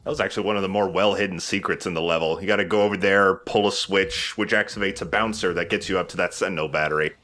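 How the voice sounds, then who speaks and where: A man's voice: low voice, fairly even intonation, fast, hardly any pauses, pushed and bright, slight background noise. An enthusiastic man giving casual let's play commentary as he plays a video game. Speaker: let's play host and player.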